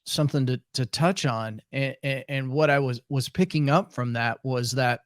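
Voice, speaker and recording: A man's voice: mid-range voice, moderate pace, no echo. Hesitant male podcast host, dry sound. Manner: hesitant, with restarts and fillers. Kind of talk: casual interview chat.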